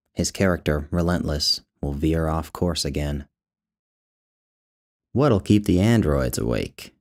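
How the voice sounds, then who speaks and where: A man narrating his own literary short story in a measured, deliberate way, drawing out phrases. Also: deep voice, darkish tone.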